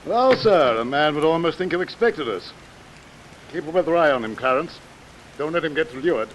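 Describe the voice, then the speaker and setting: An actor playing a pompous, commanding seafaring villain in a 1940s radio detective drama, with salty nautical phrasing. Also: male voice, fairly high pitch, expressive, fairly quick, close to an everyday voice, poor audio. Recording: hissy vintage radio recording, rain overlaid.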